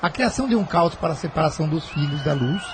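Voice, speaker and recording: A man's voice: a little high, lively intonation, quick pace, natural voice. Man acting an earnest companion, very noisy scene. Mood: troubled. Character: devout, earnest male companion of a spiritual leader. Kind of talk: audio drama acting. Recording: very loud ambient noise.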